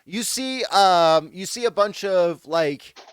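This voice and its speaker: A man's voice: high-pitched, animated, clear, resonant tone. A man narrating a tabletop game as game master, hesitant and full of fillers. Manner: halting, unhurried narration with many fillers.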